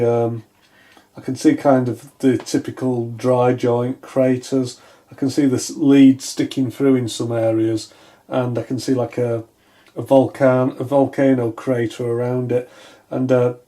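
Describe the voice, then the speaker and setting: Man, mellow dark tone, mid pitch, casual repair commentary, tentative, thinking aloud. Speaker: electronics repair hobbyist, video host. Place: indoors at a wooden workbench.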